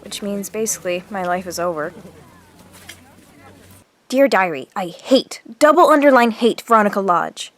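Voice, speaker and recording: A woman's voice: mid-range voice, lively intonation, moderate pace, pauses often, a little hiss or hum, lightly acted. A woman playing an earnest, insecure girl of twelve confiding in her diary in a radio drama. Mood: dejected. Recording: music under the voice.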